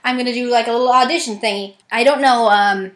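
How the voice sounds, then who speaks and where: A woman's voice: fairly high pitch, quick pace, a bit bright. A young adult woman chatting casually in a rambling, self-deprecating way about auditioning as an amateur voice actor. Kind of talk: casual chatty vlog narration. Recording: echoey room.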